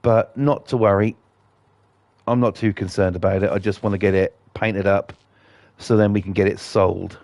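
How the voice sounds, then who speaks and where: A man hosting casual gameplay commentary, blunt and defiant, his voice croaky from a cold. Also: lowish voice, fairly even intonation, moderate pace, a little mellow, no room sound, highs cut off. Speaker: gaming channel host.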